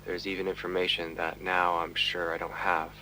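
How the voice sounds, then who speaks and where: An actor playing a weary, driven police detective who narrates his case in a brooding, deliberate way for an audio drama. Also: low-pitched, level tone, sharp tone, slight background noise, poor audio. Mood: somber.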